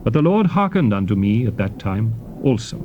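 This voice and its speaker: Solemn biblical prophet, noisy tape. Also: male voice, on the low side, very animated, muffled recording. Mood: stern, reproachful. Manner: solemn, declamatory, measured phrasing. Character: male Hebrew prophet, solemn leader exhorting his people. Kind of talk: dramatized scripture reading, formal theatrical oratory. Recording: noisy old cassette tape transfer.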